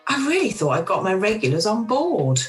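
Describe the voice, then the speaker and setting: A woman playing an anxious, lively middle-aged restaurateur. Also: mid-range voice, a little fast, natural voice. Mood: wry. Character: warm, anxious middle-aged restaurateur woman, self-doubting food lover. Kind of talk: amateur radio play acting. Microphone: video call. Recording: home video-call recording with music.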